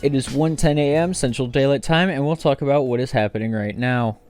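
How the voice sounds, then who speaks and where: A man's voice: mid-range voice, brisk, a little mellow, faint music behind, natural voice. A man playing a grave, formal breaking-news anchor who reads a scripted report.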